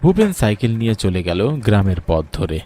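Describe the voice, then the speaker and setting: A man's voice: lowish voice, sing-song, normal speed, mellow. A man reads a scripted ghost story as its narrator.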